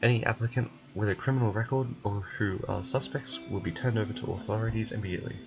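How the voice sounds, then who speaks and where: A man's voice: lowish voice, fast, creaky, low-quality recording. Man reading game text aloud over music. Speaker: game streamer. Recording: music behind.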